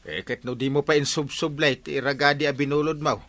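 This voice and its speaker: An actor with an Ilocano Filipino accent playing a grudging, exasperated neighbour in a radio comedy drama. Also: male voice, on the high side, fairly even intonation, fairly quick, fairly bright tone. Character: grudging, exasperated neighbour man lending things.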